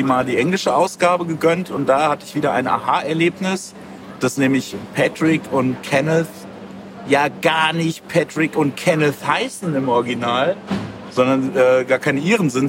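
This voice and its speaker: A man's voice: fairly high pitch, moderate pace. A man speaking casually as an interview guest, thinking aloud in a rambling way. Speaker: interview guest, graphic novel creator. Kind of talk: casual chat. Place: outdoors at a busy book fair. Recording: clearly noisy outdoor fair ambience.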